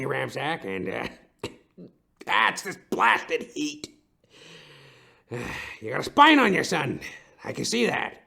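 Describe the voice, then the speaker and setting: Older tycoon for comic detective audio play: pompous, florid, slow, wildly swooping pitch. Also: male voice, vocal fry, sharp tone, breathy edge, exaggerated voice. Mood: grudging, conciliatory. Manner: pompous, florid, hesitant pauses, explosive outbursts. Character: blustering, pompous older male stump-company tycoon and client. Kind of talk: audio detective comedy-drama acting.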